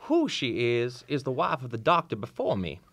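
Old country doc in a radio drama, folksy southern drawl, voice goes up and down a lot. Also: male voice, medium pitch, very animated, moderate pace, a bit bright, no room sound. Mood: folksy storytelling. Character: kindly old small-town Southern country doctor. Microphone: shared vintage-style studio microphone.

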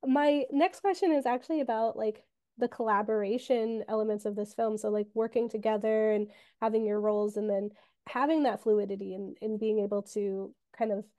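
A woman's voice: medium pitch, fairly even intonation, a little fast, warm tone, no room sound, clean studio sound. A woman in her twenties moderating a festival Q&A over video call, enthusiastic and encouraging toward her guests. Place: room with old monitors, indoors.

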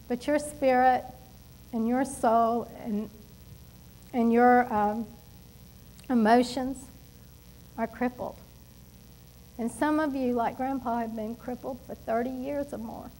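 A middle-aged woman preaching a sermon from a church pulpit, emphatic and animated, with asides to the congregation. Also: fairly high pitch, slow, pauses often, rough recording. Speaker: pastor. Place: church stage at a wooden pulpit.

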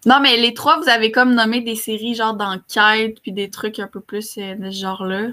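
A young woman with a bright, forward voice and a Québécois French accent. She talks casually as a panelist, in a small room at home, through a computer mic on a video call. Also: a little high, level tone, moderate pace. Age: young adult. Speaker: talk show panelist. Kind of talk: casual chat.

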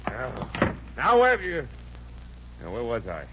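A man's voice: medium pitch, huge pitch swings, unhurried, crowd noise. An actor playing a harried, irritable American draftsman in a 1950s radio comedy, flustered and muttering to himself. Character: harried, irritable man trying to finish an engineering sketch. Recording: muffled, noisy old radio recording.

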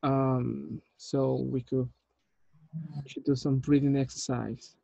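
A man in his thirties with a medium-pitched voice gives instructions in an informal online meeting. He talks haltingly, and the call audio sounds dull and muffled. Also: flat delivery, creaky, mellow, dry recording. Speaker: short film director leading a table read. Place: indoor room, on a video call.

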